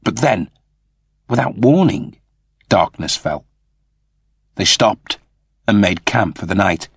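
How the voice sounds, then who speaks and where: A man reading scripted science fiction narration as an audiobook narrator, in a measured, dramatic manner. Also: lowish voice, expressive, a bit bright, husky, no echo, studio quality.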